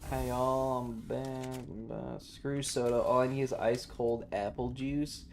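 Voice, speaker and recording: A man's voice: medium pitch, flat delivery, slow pace, a little hiss or hum. A man livestreaming a video game with casual, slangy, swearing chatter and jokey commentary. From Southern United States.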